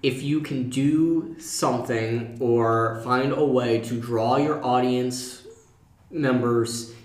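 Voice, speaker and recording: A man's voice: medium pitch, fairly even intonation, slow pace. A man co-hosting a casual panel discussion, explaining his points through examples. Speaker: podcast co-host. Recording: echoey room.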